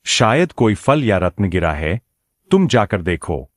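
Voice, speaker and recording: A man's voice: fairly low pitch, sing-song, unhurried, dry recording, studio quality. A man voicing a sly, scheming wolf in a cartoon moral story, oily and mock-friendly in a theatrical way. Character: sly scheming male wolf, falsely friendly, muttering plots.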